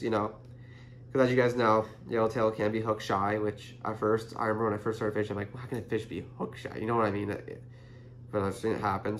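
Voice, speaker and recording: A man's voice: fairly low pitch, a bit bright, slight background noise. Chatty young man giving fishing tips. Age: young adult. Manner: chatty, filler-heavy, earnest with frequent "like" and "you know". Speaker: fishing channel host. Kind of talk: casual tackle advice to camera. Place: indoor room in front of a printed banner.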